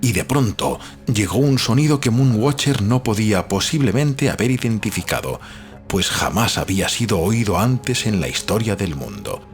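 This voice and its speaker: A man from reads literary fiction as a scripted audiobook narration, in a measured, expressive storytelling manner. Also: lowish voice. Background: background music.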